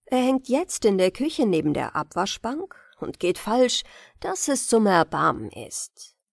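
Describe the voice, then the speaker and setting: A woman reading fairy tales as an audiobook narrator, with an expressive storytelling delivery. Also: medium pitch, measured pace, creaky, no room sound, clean studio sound. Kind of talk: bedtime fairy-tale reading, scripted narration.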